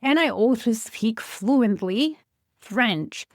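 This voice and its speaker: A podcast host in her forties, Polish-born with a light Polish accent, talking through a relaxed, chatty solo episode about herself. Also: fairly high pitch, slow pace, no room sound. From Poland. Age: 40s. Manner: chatty, reflective, counts through a list.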